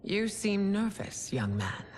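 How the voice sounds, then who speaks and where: A woman playing an imperious fantasy empress in a cinematic game, speaking in a formal, measured, commanding way. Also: mid-range voice, big pitch swings, a little mellow, slight background noise. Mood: cool, formal. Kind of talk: cinematic video game acting.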